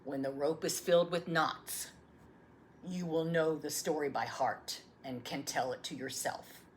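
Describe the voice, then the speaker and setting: A woman voicing a gentle, loving old grandfather in a solemn, tender storybook read-aloud. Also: deep voice, steady tone, measured pace, a little hiss or hum, voice pushed down.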